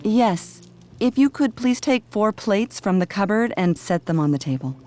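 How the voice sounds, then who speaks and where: A woman's voice: medium pitch, expressive, moderate pace, a little mellow, faint music behind, natural voice. Lilting, gracious middle-aged mom, a woman acting. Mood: politely pointed. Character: gracious, prim middle-aged mother and hostess. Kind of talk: video game cutscene acting.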